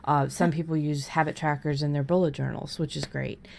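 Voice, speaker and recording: A woman's voice: deep voice, fairly even intonation, a little fast, creaky, a little mellow. A woman hosting a podcast, explaining things in an informal, conversational way. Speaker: podcast host. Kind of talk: casual conversational podcast discussion.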